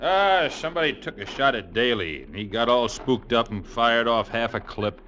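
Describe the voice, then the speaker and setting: An actor playing a tough, curt, sardonic gang member in an old-time radio suspense drama. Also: male voice, mid-range voice, expressive, normal speed, pushed and bright, a little hiss or hum.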